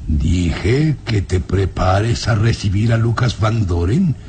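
An actor playing a grave, imperious old nobleman father in a radio drama, speaking in a commanding, deliberate way. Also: lowish voice, animated, darkish tone, husky, full character voice. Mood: cold, commanding. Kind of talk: radionovela acting. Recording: vintage radio drama with music behind.

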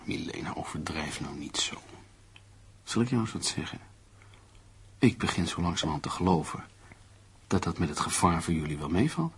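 Radio play: persuasive, dismissive male friend, lively intonation, rough unclear sound. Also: deep voice, normal speed, pauses often, full character voice. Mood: probing, dismissive. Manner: argumentative, theatrical, persuasive. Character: glib male friend, needling, reasoning, dismissive of danger. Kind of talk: Dutch radio play acting.

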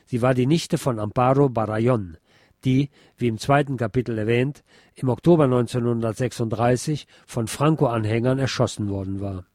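Guy reading a history book out loud for a podcast, medium pitch, dry close recording. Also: normal speed, no echo. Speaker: podcast host and reader. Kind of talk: scripted narration, reading a history book aloud.

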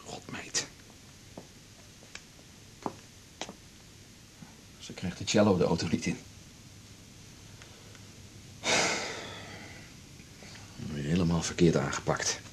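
An actor playing an evasive male cello teacher ending an affair, speaking haltingly in a naturalistic radio drama. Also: deep voice, whispered, close to an everyday voice. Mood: muttering regret.

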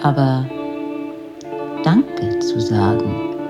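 A woman as the calm, reflective narrator of a radio play, reading scripted story passages. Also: rumbling low, drawn-out words, exaggerated voice. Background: plucked guitar music.

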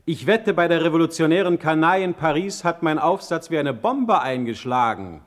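Man putting on a high, affected, vain poet. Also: expressive, close to an everyday voice. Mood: smug glee. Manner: affected, drawn-out, showy. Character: vain, affected, cynical poet mocked by an informer. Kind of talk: German radio play acting.

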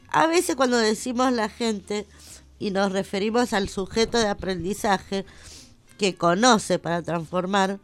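A woman co-hosting a radio talk show, giving measured commentary. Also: mid-range voice. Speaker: radio co-host. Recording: music under the voice. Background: music.

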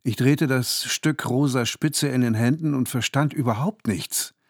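A man's voice: mid-range voice, no room sound, studio quality. An actor playing an anxious, introspective married man who tells his own story in a radio drama. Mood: tense, agitated. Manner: introspective, switches from measured narration to agitated pleading. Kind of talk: radio drama narration and dialogue.

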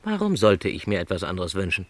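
A man's voice: low voice, huge pitch swings, a little fast, heavily acted. An actor playing a lonely, contented travelling salesman who is no longer young, speaking modestly and politely in a radio play. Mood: pensive, resigned. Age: middle-aged.

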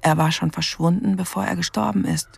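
A sober woman with a gravelly, breathy voice. She is sympathetic and reflective, putting quiet questions in naturalistic radio drama style. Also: on the low side, fairly even intonation, a little fast, exaggerated voice. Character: sober, sympathetic woman inquiring into a dead stranger.

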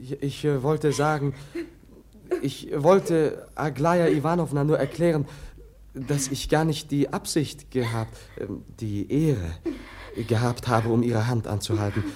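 An actor playing a meek, awkward young prince who talks hesitantly and apologetically, in 1950s radio play style. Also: male voice, mid-range voice, a little slow, darkish tone, lightly acted. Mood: flustered.

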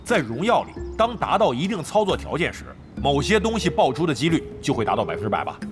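A man's voice: a little high, very animated, fast talker, music in the background. An actor playing a brash, crafty middle-aged veteran gamer in a Chinese audio drama, cocky and slangy, grumbling and swearing as he banters. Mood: opinionated.

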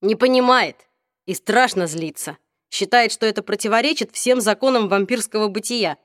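A woman's voice: mid-range voice, moderate pace, no echo, studio quality, lightly acted. A woman doing a wry teenage vampire boy. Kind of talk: audiobook reading.

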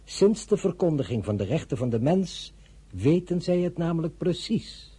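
An actor playing a solemn, idealistic father in a radio play, speaking gravely and deliberately with a husky voice. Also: fairly high pitch, very animated, dark tone. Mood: grave, impassioned. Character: solemn, idealistic father advising his young daughter.

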